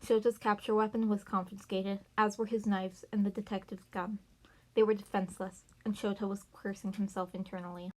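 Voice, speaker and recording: A woman's voice: medium pitch, flat delivery, brisk, darkish tone. A woman reading fan fiction narration aloud as an amateur podfic reader. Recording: noisy amateur recording with birds chirping.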